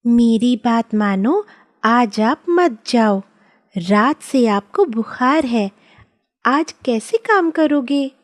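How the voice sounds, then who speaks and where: A woman's voice: on the high side, animated, drawn-out words. A woman voicing a caring, worried wife of a poor villager in a cartoon. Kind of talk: cartoon dialogue.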